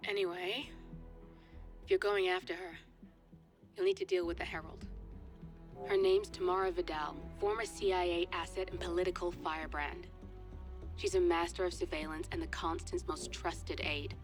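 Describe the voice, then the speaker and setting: A woman's voice: on the low side, fairly even intonation, moderate pace, long pauses, faint music behind, natural voice. An actress playing a composed female intelligence contact in a cinematic game, briefing in a matter-of-fact, controlled way. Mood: businesslike.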